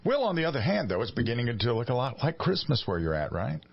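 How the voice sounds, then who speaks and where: A man's voice: medium pitch, very animated, fast, gravelly, breathy edge, no echo, lo-fi sound. A man in his sixties from South Carolina, hosting a radio show and teasing his guest in easy, joking conversation. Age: 60s. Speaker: radio host. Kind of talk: casual radio chat.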